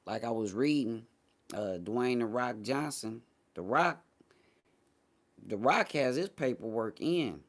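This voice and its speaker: A man's voice: medium pitch, expressive, slow, pauses often. An American man hosting a casual livestream talk show, speaking in a blunt, slangy, dismissive way. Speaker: host of a morning talk show.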